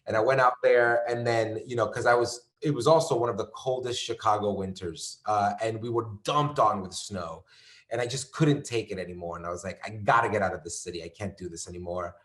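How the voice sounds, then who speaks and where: A man's voice: fairly low pitch, a little fast, fairly bright tone. A man from New York chatting casually as an actor guest, rambling with lots of fillers and restarts. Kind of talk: casual conversation. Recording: echoey room.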